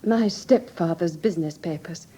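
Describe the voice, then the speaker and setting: A woman's voice: fairly low pitch, moderate pace, mellow, close to an everyday voice. An actress with an English accent playing a well-bred, anxious young lady, polite and earnest, in a classic radio drama. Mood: earnest, uneasy.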